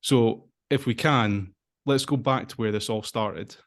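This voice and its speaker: A man's voice: fairly low pitch, a little slow. A man hosting a podcast, introducing his guest in a friendly, informal way. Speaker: podcast host. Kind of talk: conversational interview.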